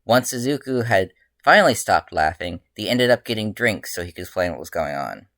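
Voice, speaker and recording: Expressive storyteller in a close, dry recording. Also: low-pitched, a little fast, no echo. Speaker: author reading own story aloud. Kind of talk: dramatic live fan fiction reading, narrated.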